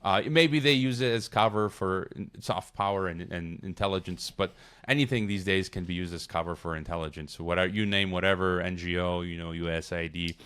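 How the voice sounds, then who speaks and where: A man's voice: deep voice, brisk, a bit bright, clean tone. A middle-aged man from Chicago, a podcast guest, talking casually in a rambling, digressive way with many restarts. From Chicago, United States. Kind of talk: casual interview conversation.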